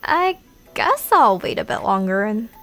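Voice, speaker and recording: A woman's voice: mid-range voice, sing-song, a little slow, over music. A woman playing a skeptical, intrigued narrator who thinks aloud informally in an audio drama. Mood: musing. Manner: hesitant, self-questioning.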